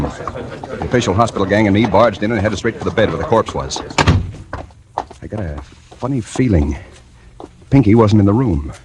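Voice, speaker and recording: An actor playing a brash, wisecracking American newspaper reporter, narrating in a hardboiled, sardonic 1940s radio noir style. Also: male voice, sits low, lightly acted. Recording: vintage 1940s radio drama with music.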